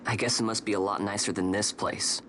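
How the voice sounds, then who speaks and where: A man's voice: on the low side, steady tone, fairly quick, a bit bright, a little hiss or hum, lightly acted. An actor playing a streetwise young male adventurer in a video game, speaking in a casual, blunt, boyish way. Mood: casual, wry. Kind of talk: video game cutscene acting.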